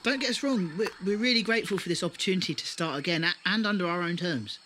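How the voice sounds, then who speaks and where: A man's voice: high-pitched, quick pace, bright, forceful tone, a little hiss or hum. A man in an audio drama playing a polite settler who speaks hesitantly and apologetically.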